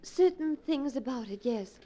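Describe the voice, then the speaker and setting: An actress playing an anxious, pleading wife in a ghost story, with earnest melodramatic 1940s radio acting on an old broadcast recording. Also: female voice, high-pitched, lively intonation, measured pace, warm tone. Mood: worried, questioning.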